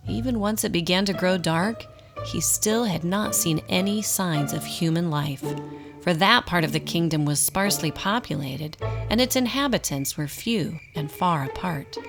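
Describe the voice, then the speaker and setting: A woman narrating a scripted radio drama in an expressive storybook style. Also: fairly low pitch.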